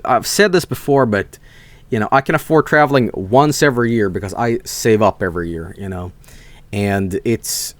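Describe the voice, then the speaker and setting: A man's voice: mid-range voice, no room sound. A young man from Sweden, telling rambling stories on a casual stream, swearing freely and restarting his sentences.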